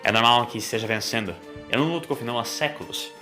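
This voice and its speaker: An old time-travelling mentor, played by a male narrator speaks calmly and wryly in an audio story. The delivery is measured and sententious, pitched down, with music underneath. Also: lightly acted. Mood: wry, instructive.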